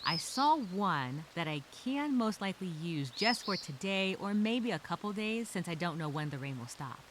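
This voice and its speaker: A woman playing a scared but determined castaway, speaking her survival journal as a halting, expressive dramatic monologue. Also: fairly low pitch, background noise, lightly acted. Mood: anxious, subdued.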